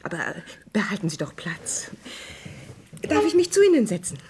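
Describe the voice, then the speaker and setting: An actress playing a poised, charming wife and hostess in a vintage crime radio play. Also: female voice, mid-range voice, big pitch swings, moderate pace, noisy background, close to an everyday voice.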